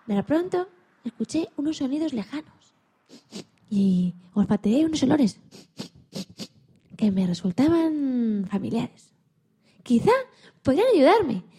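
A woman voicing a timid, hopeful young male dog who tells his own story in a children's radio play, expressive and a little halting. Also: sits high, exaggerated voice. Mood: wistful storytelling.

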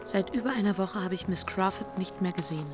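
A woman's voice: mid-range voice, fast talker, music in the background, lightly acted. Reserved, resolute young woman, played by an actress. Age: young adult. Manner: serious, careful, formal. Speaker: radio drama actor. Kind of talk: German radio drama dialogue.

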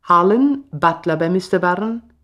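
A woman's voice: fairly low pitch, expressive, moderate pace, fairly bright tone. A woman announcer reading radio play credits in a formal, scripted manner.

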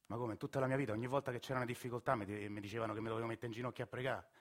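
A man's voice: medium pitch, steady tone, very fast, lightly acted. A male stage actor playing Agostino, a put-upon, desperate working man with a Roman accent, colloquial and plaintive. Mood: bitter, pleading. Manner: colloquial, pleading, exasperated. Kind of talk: theatrical monologue with several characters.

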